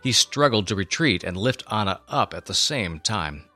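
A man narrating a scripted story in a solemn, expressive, dramatic way on a close microphone. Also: on the low side, normal speed, no room sound, soft background music. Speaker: show host and story narrator.

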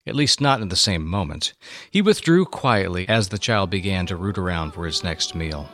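A man reading scripted dramatic narration with a theatrical, expressive delivery. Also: low voice, moderate pace.

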